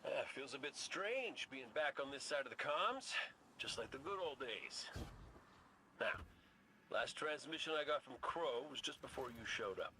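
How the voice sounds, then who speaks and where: A roguish veteran gunslinger robot from a video game, wry and full of swagger. The voice is bright and forceful and swings freely in pitch, with faint noise behind. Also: male voice, expressive, normal speed, rough recording. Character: cocky wisecracking veteran robot gunslinger scout, folksy and roguish.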